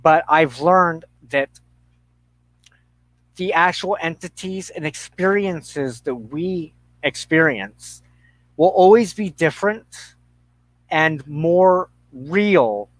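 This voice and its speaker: Slow, thoughtful middle-aged man. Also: fairly high pitch, lively intonation, no echo, low-quality recording. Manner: halting, thoughtful, pausing mid-sentence. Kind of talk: reflective conversational discussion.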